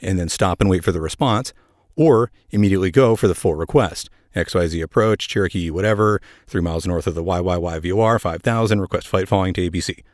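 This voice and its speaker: A man with a fairly low voice narrates as a podcast host. His delivery is scripted yet conversational, with wry little asides. The sound is very dry, free of room echo. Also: quick pace, a little mellow. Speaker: air traffic controller.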